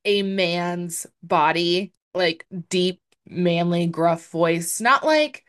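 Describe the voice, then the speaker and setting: Young adult woman, podcast co-host; lowish, deep voice for a woman, hedging and chatty. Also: drawn-out words. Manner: chatty, hedging with many fillers. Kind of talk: casual chat.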